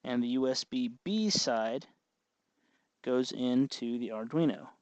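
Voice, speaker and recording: A man hosting a hobby tutorial, explaining each step in an informal, folksy, unhurried way. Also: mid-range voice, a little mellow, no room sound. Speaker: host of a hobby electronics tutorial. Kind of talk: informal hands-on tutorial narration.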